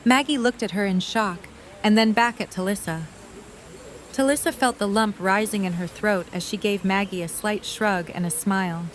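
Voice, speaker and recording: A woman reading the narration of an audio drama in an even storytelling manner. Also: medium pitch, normal speed. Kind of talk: scripted narration.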